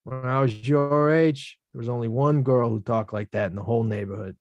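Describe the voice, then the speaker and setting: A man's voice: mid-range voice, brisk, no room sound, highs cut off, natural voice. An actor in a table read playing a plainspoken working-class boxer, protective and streetwise in his slangy talk. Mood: concerned, lecturing. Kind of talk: screenplay table read acting.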